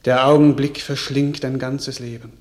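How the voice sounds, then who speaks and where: A man's voice: medium pitch, level tone, normal speed, darkish tone, reverberant, close to an everyday voice. An actor playing a gracious young French king in a classical verse radio drama, declaiming solemnly and tenderly. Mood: moved, forgiving. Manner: declamatory, stately delivery.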